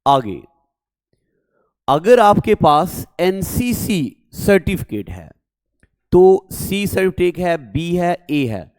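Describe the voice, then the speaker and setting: A male coaching teacher with a sore throat, speaking slowly and deliberately as he walks students through exam details in Hindi. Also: on the high side, darkish tone, dry recording. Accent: North Indian Hindi. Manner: slow, deliberate, addresses listeners as dear children. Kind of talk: exam information lesson to students.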